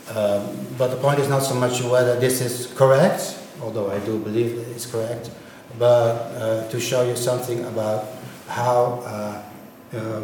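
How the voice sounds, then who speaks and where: A man's voice: mid-range voice, a little mellow, a little hiss or hum. A man whose first language is Dutch, giving a university linguistics lecture and often checking understanding with "right?". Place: lecture room. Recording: echoey lecture room.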